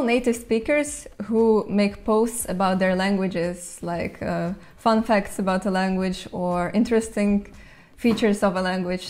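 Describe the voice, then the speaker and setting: A woman's voice: medium pitch, a little mellow. Young Latvian woman answering questions casually. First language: Russian. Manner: thoughtful, light laughs, reads out fan questions. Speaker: language channel host. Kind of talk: casual Q&A to camera. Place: bright room indoors, seated in an armchair. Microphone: lapel mic.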